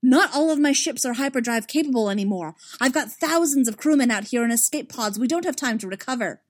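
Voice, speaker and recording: A woman voicing a commanding female fleet admiral, urgent and sharp with a raised voice. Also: medium pitch, a little fast, lightly acted. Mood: heated.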